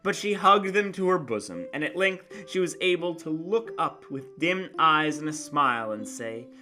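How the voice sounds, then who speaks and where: A man narrating a literary story as a dramatic reading, with theatrical, deliberate emphasis. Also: a little high, expressive, music in the background.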